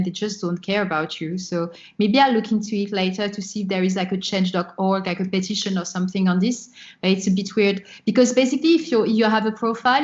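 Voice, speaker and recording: A woman's voice: medium pitch, darkish tone, highs cut off. A woman from France with a French accent, chatting casually as an interview guest over a remote call. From France, born in Morocco. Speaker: podcast guest, community founder.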